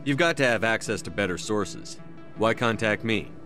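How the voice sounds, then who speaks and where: An actor playing a curt, sceptical military commander in a sci-fi video game, direct and authoritative. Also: male voice, lowish voice, expressive, normal speed, pushed and bright, soft background music. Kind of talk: video game dialogue acting.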